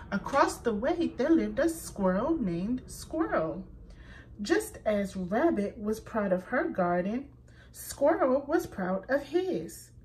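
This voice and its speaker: A woman reading a children's picture book aloud, slow and expressive, pointing out letter sounds for young listeners. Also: mid-range voice, a little hiss or hum, rough recording. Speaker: children's story reader.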